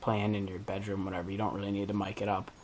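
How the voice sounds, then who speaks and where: A man's voice: lowish voice, completely flat delivery, fast talker, a little mellow, a little hiss or hum. A man hosting a DIY video, talking through a hands-on tutorial in a casual, informal way as he thinks aloud.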